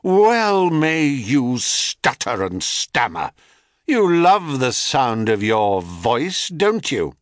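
A man's voice: mid-range voice, very animated, extremely slow, no echo, clean studio sound. A man voicing a haughty, wrathful queen goddess who speaks with icy command. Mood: icy imperious. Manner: icy, imperious.

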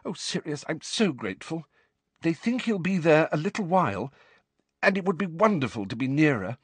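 A man voicing a warm, emotional middle-aged mother, lifting his voice high and gushing. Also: very animated, moderate pace, slightly rough, dry recording. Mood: grateful.

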